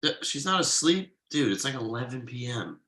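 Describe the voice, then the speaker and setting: A man playing an exasperated, uptight workaholic father with halting, sardonic delivery in a comedy table read. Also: medium pitch, very animated, bright, forceful tone. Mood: indignant.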